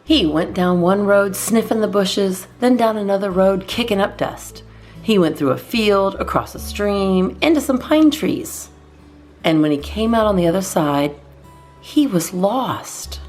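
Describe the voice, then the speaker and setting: A woman reading a children's storybook aloud as a storytime host, expressively and in measured phrases with deliberate pauses. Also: medium pitch, animated, darkish tone, soft background music.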